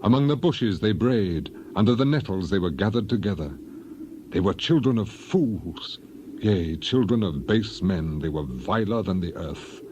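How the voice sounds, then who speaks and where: A man's voice: low-pitched, expressive, a little slow, background noise. A man voicing a grieving, dignified biblical elder in a solemn, lamenting, declamatory dramatized reading. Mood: bitter, scornful.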